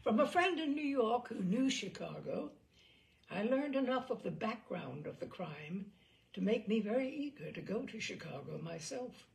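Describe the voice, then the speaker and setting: A woman reading a memoir aloud in an expressive, dramatic storytelling style with wry asides. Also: fairly high pitch, moderate pace, creaky. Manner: lively, dramatic phrasing with asides. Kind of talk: expressive audiobook-style narration.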